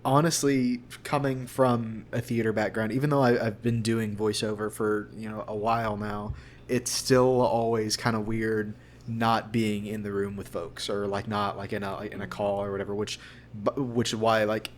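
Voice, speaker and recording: Low-voiced young man, rambling casually, noisy background. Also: little pitch movement, normal speed. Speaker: voice actor guest. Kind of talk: casual interview chat.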